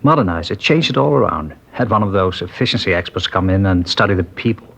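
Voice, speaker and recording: An actor playing a mild, trusting older man who owns a small dress factory, talking in a gentle, hesitant and rambling way in a 1950s radio drama. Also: deep voice, fast, vocal fry, full character voice. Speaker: witness in a police interview. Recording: muffled vintage radio broadcast.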